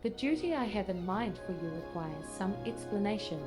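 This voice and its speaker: A woman plays a female admiral in a game, with a medium-pitched voice. She is formal, resolute and businesslike, with music behind her. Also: lively intonation, normal speed, close to an everyday voice. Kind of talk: scripted video game dialogue.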